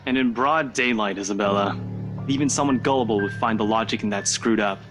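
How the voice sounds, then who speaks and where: A man's voice: fairly low pitch, brisk, music in the background, close to an everyday voice. A man voicing a sarcastic, skeptical young man who mocks talk of curses and ghosts. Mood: scoffing. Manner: mocking, dismissive.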